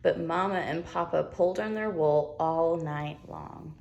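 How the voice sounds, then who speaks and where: A woman reading a children's storybook aloud in an expressive narrating style. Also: deep voice, unhurried, creaky, muffled recording, a little hiss or hum. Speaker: storybook reader.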